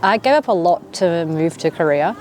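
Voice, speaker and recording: A woman's voice: fairly low pitch, animated, moderate pace, darkish tone, faint music behind. An Australian woman in her thirties chatting casually to camera in a vlog, rambling with lots of fillers. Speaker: vlogger.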